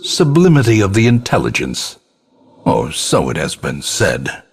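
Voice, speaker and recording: An actor playing a grim old male ancestor who narrates a gothic video game in a portentous, measured, theatrical manner, with a gravelly, husky voice. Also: on the low side, huge pitch swings, full character voice. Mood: grave, ominous. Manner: portentous, measured, ornate diction.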